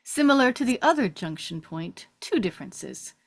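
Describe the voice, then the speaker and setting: A woman's voice: fairly high pitch, very animated, moderate pace. A woman giving scripted narration, reading out stage directions at a table read.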